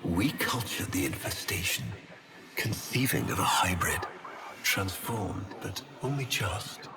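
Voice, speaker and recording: An actor playing a haughty, sinister aristocrat of a fallen empire in a video game, speaking in a theatrical, menacing, hushed manner with a breathy edge. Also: male voice, low voice, animated, unhurried, over music, rough recording. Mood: solemn, bitter. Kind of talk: dramatic video game monologue.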